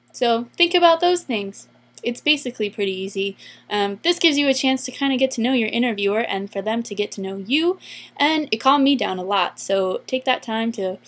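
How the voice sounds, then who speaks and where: A woman's voice: on the high side, fairly bright tone. A young adult woman giving casual, upbeat advice in a chatty vlog style, stretching words for emphasis. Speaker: vlogger giving interview advice.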